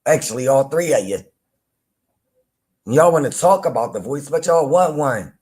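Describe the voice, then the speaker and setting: A man with a deep voice, hosting a live chat room and teasing rivals in loose, playful banter with frequent laughter. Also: lively intonation, normal speed, a little mellow. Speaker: live audio-room host. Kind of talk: casual trash-talking chat to listeners.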